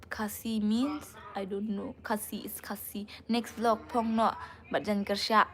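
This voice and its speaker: A woman's voice: mid-range voice, flat delivery, unhurried, fairly bright tone. Casual Northeast Indian woman live-streaming. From Meghalaya, India. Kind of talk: casual live-stream chat, reading and answering comments.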